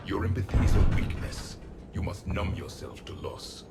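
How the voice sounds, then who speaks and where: An actor voicing a cold, pompous ancient alien warrior in a video game, with measured, unsympathetic delivery. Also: male voice, low-pitched, animated, noisy background. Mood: cold, stern. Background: music.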